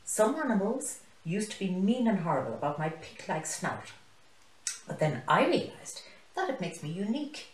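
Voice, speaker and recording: Lady doing a keen friendly warthog schoolboy, voice pitched up and bouncy, kids book, echoey. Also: big pitch swings, normal speed, natural voice. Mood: earnest. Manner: eager, heartfelt. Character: friendly, earnest male warthog pupil. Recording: roomy, echoey living room.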